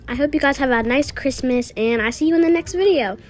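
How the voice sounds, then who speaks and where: A woman's voice: high-pitched, fast talker. A woman casually improvising narration for a toy-app roleplay video, thinking aloud through each small action. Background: music.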